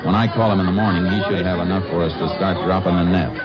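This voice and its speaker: Deep actor as male Texas Ranger, clipped, businesslike, steady authority, muffled 1950s radio drama sound. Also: fast, a loud crowd, natural voice. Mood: commanding. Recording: dull old radio recording, music behind.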